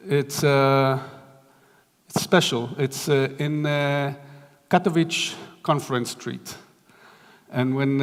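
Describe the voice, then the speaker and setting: Israeli-accented male lecturer, halting delivery, mid pitch, long hall reverb. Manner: halting, many restarts and fillers. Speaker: guest lecturer. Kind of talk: architecture lecture.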